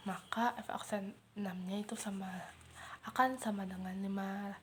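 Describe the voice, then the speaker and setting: A teenage girl working methodically through math problems step by step, in a soft, half-whispered way. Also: mid-range voice, a little hiss or hum. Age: teens. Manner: steady, methodical, working through steps aloud. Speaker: student explaining homework. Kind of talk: math walkthrough.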